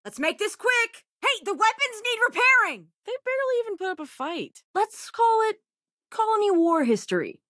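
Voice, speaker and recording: A woman's voice: very high voice, sing-song, normal speed, sharp tone, no room sound, studio quality, heavily acted. A woman voicing a sardonic, self-assured young female crewmate and researcher in a video game, with a casual, wry delivery. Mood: combat urgency.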